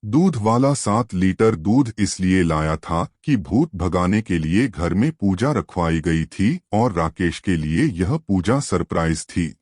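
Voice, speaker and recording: Deep-voiced male storyteller, unhurried. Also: animated, darkish tone, dry recording, studio quality. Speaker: storyteller, narrator. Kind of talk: scripted suspense love-story narration.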